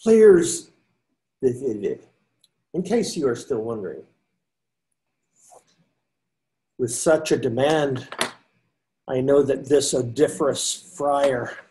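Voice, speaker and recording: An older man voicing a pious young friar who loves books, reading slowly and earnestly over a video call. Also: mid-range voice, expressive, dark tone. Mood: indignant.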